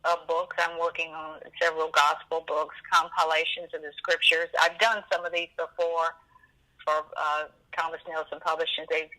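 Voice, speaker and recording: A woman in her seventies reminiscing as an interview guest, animated and emphatic, often rambling and repeating herself. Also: deep voice, moderate pace, low-quality recording. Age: 70s.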